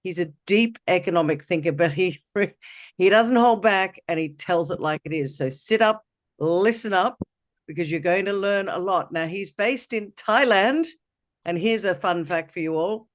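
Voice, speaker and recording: High-voiced upbeat male podcast host. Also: moderate pace. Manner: upbeat, chatty introductions. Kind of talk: interview.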